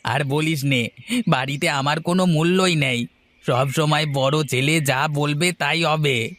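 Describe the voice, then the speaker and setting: A man's voice: on the high side, a little slow, fairly bright tone, no room sound. A man playing a resentful, complaining young younger son in a fairy-tale cartoon. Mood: aggrieved. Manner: sulky, complaining.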